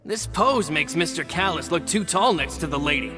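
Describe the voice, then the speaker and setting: A man playing a loyal, courteous henchman in a video game, speaking politely and deferentially with a gravelly edge. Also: on the high side, expressive, normal speed, over music, natural voice. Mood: doubtful. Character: loyal, courteous henchman, dutiful to his lady boss.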